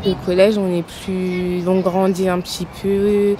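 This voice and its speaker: A young adult woman from Paris, giving a slow, reflective personal testimony in an outdoor garden. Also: on the low side, flat delivery, crowd noise. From Paris, France. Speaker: patient interviewee.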